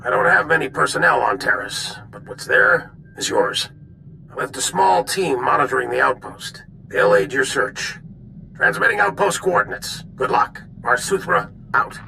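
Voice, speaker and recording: An actor playing a brisk Republic military commander in a video game, giving clipped, authoritative briefings. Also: male voice, mid-range voice, lively intonation, vocal fry, pushed and bright, a little hiss or hum, heavily acted, low-quality recording. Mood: businesslike urgency.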